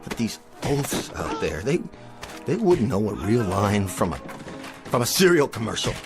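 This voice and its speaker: Pompous, animated TV western star. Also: male voice, lowish voice, measured pace, over music. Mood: haughty. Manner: theatrical, exasperated, haughty. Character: pompous, petulant male TV western star, self-pitying. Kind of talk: radio drama acting.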